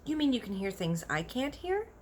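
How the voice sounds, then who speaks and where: A woman's voice: a little high, very animated, normal speed, voice pushed up. A woman voicing a curious, polite young girl in a children's storybook, full of amazement and eager questions. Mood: amazed, curious. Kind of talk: animated children's storybook character voice.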